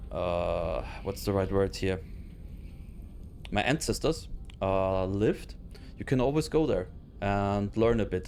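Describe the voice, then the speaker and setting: A man's voice: lowish voice, darkish tone, a little hiss or hum. A man with an Austrian German accent, a native German speaker, chatting casually with his stream chat while playing a game, hesitant with many fillers and restarts. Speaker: let's play streamer. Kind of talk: casual live gameplay chat.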